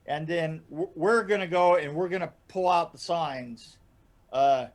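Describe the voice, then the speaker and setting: A man with a notably high voice tells casual anecdotes on a podcast. He is animated and halting, doubling back on his words and chuckling as he goes. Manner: animated, laughs through his stories, halting starts and repeats. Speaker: podcast storyteller and guest regular. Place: indoor room, video call.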